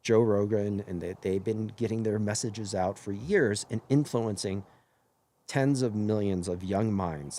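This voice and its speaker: A hoarse man hosting his own live stream, talking earnestly in a rambling way and often correcting himself mid-sentence. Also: lowish voice, dark tone. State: hoarse after illness. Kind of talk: casual live-stream monologue.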